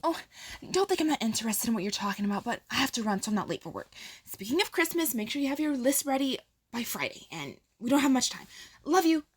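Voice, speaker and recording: A woman playing a busy, loving mother in an amateur audio drama, friendly and hurried. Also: fairly high pitch, very animated, slightly rough.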